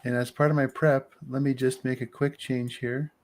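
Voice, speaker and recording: A man in his forties chatting casually on a livestream as he walks through his engineering software, joking and restarting his sentences. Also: mid-range voice, flat delivery, moderate pace. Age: 40s.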